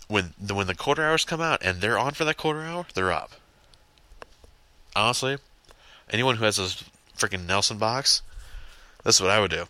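A young man hosts his own podcast, rambling and cursing bluntly with a stuffed-up, congested nose. His voice sits very low yet comes across sharp and pushy. The sound is dry, close and without room echo. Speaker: podcast host. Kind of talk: casual solo podcast rant.

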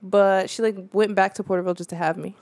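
A woman's voice: medium pitch, steady tone, fast talker, gravelly, a little mellow. Chatty young woman from Central California. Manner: chatty, laughs often, acts out quoted voices. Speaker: guest. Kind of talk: casual conversation.

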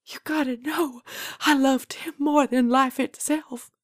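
A woman's voice: on the low side, lively intonation, measured pace, no room sound, clean studio sound. A woman voicing a feisty, demanding widow, in a told story. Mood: grieving, accusing. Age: 80s. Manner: folksy, demanding, scolding. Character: feisty, indignant old Southern woman, nearly eighty-seven.

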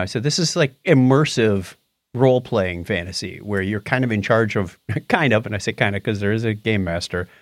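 A man's voice: lowish voice, no room sound. A middle-aged man co-hosting a podcast in casual, bantering conversation. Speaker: podcast co-host.

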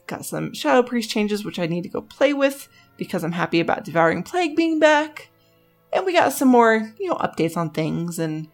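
A woman co-hosting a casual gaming podcast, enthusiastic and full of "like" fillers. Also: mid-range voice. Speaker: podcast co-host.

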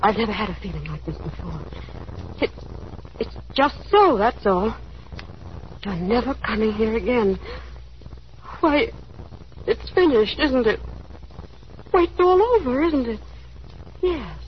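An actress playing an anxious, proud young woman in despair, pleading and halting in a 1930s radio drama style. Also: on the high side, expressive. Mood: resigned, despairing. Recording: scratchy, muffled 1930s radio broadcast.

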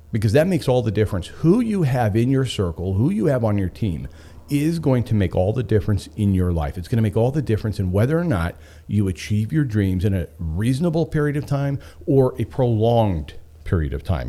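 Male motivational host: mellow tone, highly animated pitch, emphatic storyteller who leans on repetition. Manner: emphatic, repeating phrases for effect. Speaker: podcast host and author.

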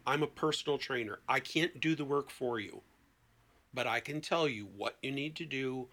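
Bright, forceful man explaining as therapist. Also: mid-range voice, moderate pace. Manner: emphatic, acts out imagined client dialogue. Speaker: therapist, podcast guest. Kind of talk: animated conversational explanation.

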